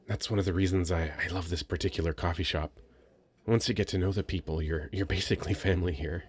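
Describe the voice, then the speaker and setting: Man playing a bashful young male nerd, deep soft voice, halting stutters, sweet and earnest, intimate ASMR roleplay. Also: natural voice. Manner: hesitant, stammering, soft-spoken, earnest. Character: very shy, sweet young nerdy male writer. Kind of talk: ASMR roleplay, addressing the listener.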